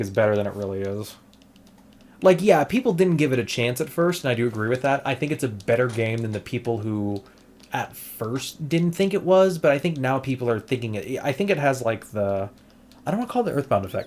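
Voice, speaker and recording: A man's voice: medium pitch, darkish tone, a little hiss or hum. Rambling male game streamer. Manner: rambling, many restarts and fillers. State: losing his voice. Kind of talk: casual gameplay stream chat.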